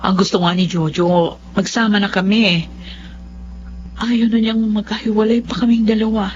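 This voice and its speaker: A woman's voice: mid-range voice, little pitch movement, moderate pace, slight background noise, natural voice. An actress playing a stubborn, lovestruck middle-aged widowed mother in an emotive, insistent Filipino radio drama style. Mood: defensive. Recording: dull lo-fi radio drama sound.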